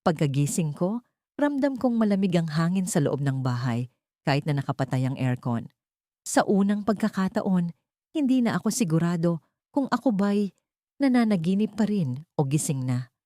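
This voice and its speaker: A woman narrates a scripted horror story in the first person. Her voice sits low and mellow, recorded very dry and close. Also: no echo.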